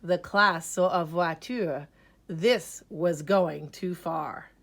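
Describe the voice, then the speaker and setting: A woman reading a children's story aloud in a rhythmic, expressive way, with dramatic emphasis on key words. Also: fairly low pitch, slow, creaky.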